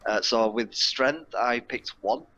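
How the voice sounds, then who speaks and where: A man's voice: mid-range voice, slow pace, pushed and bright, muffled recording. A man talking casually on an online call as a player in a tabletop game. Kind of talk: casual chat during a game.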